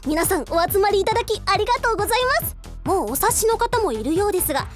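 Female actor as breezy official hostess; very high, lively, theatrically polite announcing for radio drama. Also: rapid-fire, over music. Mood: cheerfully officious. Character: breezy, officious government discussion-room moderator woman. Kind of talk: radio drama narration and acting.

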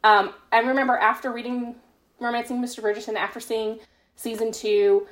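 A woman's voice: on the high side, level tone, brisk, a bit bright, low-quality recording. A woman being interviewed as an author in a casual podcast chat, talking with gushing, emphatic enthusiasm. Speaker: interviewed fan fiction author.

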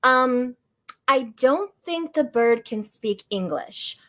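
A dry, teasing young woman with a wry delivery, read by a woman in her own voice. The voice sits fairly high, with a lively rise and fall. This is a storybook reading. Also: takes it slowly, heavily acted. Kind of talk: reading fiction aloud.

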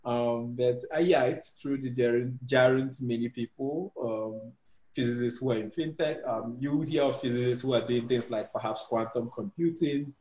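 A man's voice: medium pitch, normal speed, darkish tone. Podcast guest: Nigerian man in his twenties, hesitant, full of ums and restarts, heard over a roomy, dull line. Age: 20s. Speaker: guest, physics PhD student and startup co-founder. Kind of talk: podcast interview.

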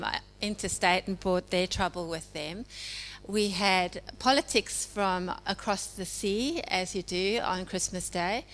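A South African woman in her forties giving an informal church message, talking in a conversational way with many pauses and ums. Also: on the low side, vocal fry, fairly bright tone. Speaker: church speaker. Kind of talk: informal sermon. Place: church hall. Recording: hall reverb with faint hum.

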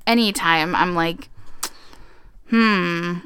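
A woman's voice: on the low side, drawn-out words, fairly bright tone, clear, resonant tone, dry recording, studio quality. A young adult woman hosting a casual podcast chat, laughing often and swearing casually, playfully blunt. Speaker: podcast host. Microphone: podcast microphone.

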